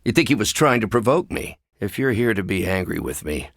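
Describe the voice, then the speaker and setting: An actor voicing a brilliant, anxious, wry scientist with buried anger in a video game, speaking in precise, articulate, dryly sardonic phrases. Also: male voice, lowish voice, sing-song, fairly quick, gravelly, a bit bright, no echo, studio quality, full character voice. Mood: measured, guarded.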